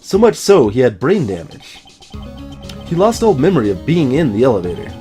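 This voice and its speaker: A man's voice: mid-range voice, wildly swooping pitch, moderate pace, warm tone, faint music behind. A man doing a let's play, reading game dialogue dramatically with playful, wry asides. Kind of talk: dramatic read-aloud of game script with joking asides.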